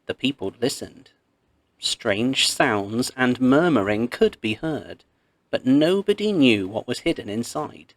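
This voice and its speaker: A man reading a myth aloud as a storybook narrator, expressive and measured, with pauses. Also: mid-range voice.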